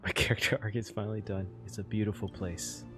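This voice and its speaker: A man giving casual, spontaneous reaction commentary as he plays a story-driven game, in an expressive way. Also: fairly low pitch, quick pace. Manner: expressive, exclamatory. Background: game music.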